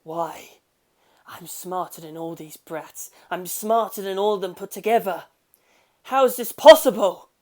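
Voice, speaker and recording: A woman's voice: lowish voice, a little slow, a little mellow, close to an everyday voice. An arrogant gangster boss acted out by a woman narrator from a dramatic audio story. He is a hot-tempered schemer who sneers and exclaims with indignation. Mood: rattled disbelief.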